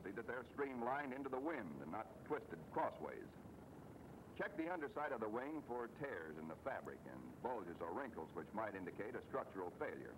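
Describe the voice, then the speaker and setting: A man's voice: sing-song, moderate pace. A man giving scripted instructional narration for a training film, explaining things in a folksy way with wry asides. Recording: noisy old training film soundtrack, engine rumble.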